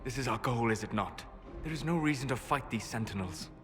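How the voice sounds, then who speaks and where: Game role: male actor as a soft-spoken elf mage, mid-pitched, distant with long hall reverb and faint music. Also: a little fast, lightly acted. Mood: calm. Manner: calm, soft and reasoning. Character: calm, reserved male elven mage.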